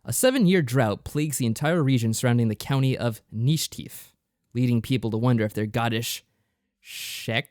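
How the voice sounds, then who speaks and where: A man's voice: medium pitch, normal speed, a little mellow, dry recording, clean studio sound. A man co-hosting a podcast, explaining things in a casual, loosely phrased review style. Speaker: podcast co-host.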